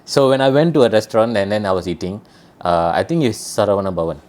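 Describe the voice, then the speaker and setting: A man's voice: lowish voice, fast talker, darkish tone. A man from Malaysia telling travel stories in a casual chat, rambling with many restarts.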